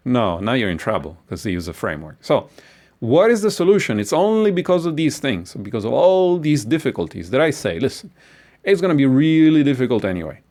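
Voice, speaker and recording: A man's voice: medium pitch, big pitch swings, brisk, a little mellow. A man giving a casual, rambling lecture as a course instructor, blunt and full of profanity.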